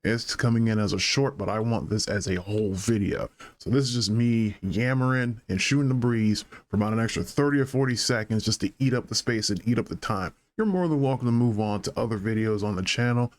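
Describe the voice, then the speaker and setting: A man's voice: on the low side, brisk, hardly any pauses. A man hosting a casual solo reaction vlog, talking through his impressions as a reviewer. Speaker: YouTube host giving episode reactions.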